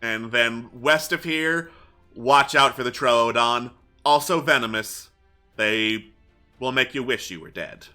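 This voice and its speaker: Weary, easygoing male guard played by a man, very bright forceful voice, casual grumbling guide for a tabletop game, music underneath. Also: medium pitch, takes it slowly. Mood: casual warning. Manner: casual, grumbling, muddles his directions.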